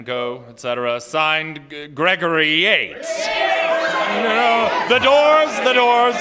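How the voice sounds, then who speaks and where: Flustered chairman, male actor, high voice, halting delivery, radio play with a loud live crowd. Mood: commanding. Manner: stammering, halting, dithering then commanding. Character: flustered male chairman of a small-town public meeting. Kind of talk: stage radio drama before live audience. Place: playhouse stage before a live audience. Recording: live theatre recording, loud audience behind.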